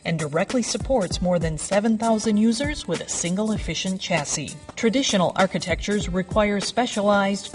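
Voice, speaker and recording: A woman's voice: fairly low pitch, far from the mic. A woman reading a scripted corporate promotional narration as a voice-over. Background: background music.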